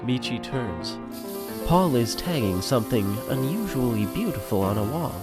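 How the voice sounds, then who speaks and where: Animated male narrator over music. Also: mid-range voice, moderate pace. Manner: wry, storytelling asides to listeners. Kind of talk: dramatic scripted narration.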